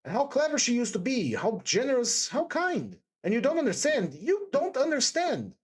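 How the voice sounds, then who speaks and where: A woman voicing a timid, distressed young woman in an audiobook, pleading fervently with halting repetitions. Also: on the high side, big pitch swings, husky, voice pushed up. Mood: anguished, pleading. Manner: halting, stammering, breathless repetitions and emphatic exclamations. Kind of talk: dramatised audiobook character dialogue.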